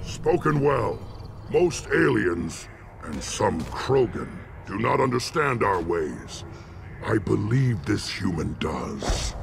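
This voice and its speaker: An actor playing a stern, proud alien elder in a video game, with a gravelly voice and formal, declamatory delivery. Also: male voice, on the low side, big pitch swings, takes it slowly, full character voice. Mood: solemn.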